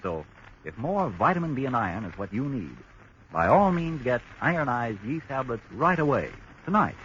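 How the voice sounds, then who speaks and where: A man announcing a 1940s radio broadcast, smooth, persuasive and measured. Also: mid-range voice, big pitch swings, fairly bright tone, highs cut off, slight background noise.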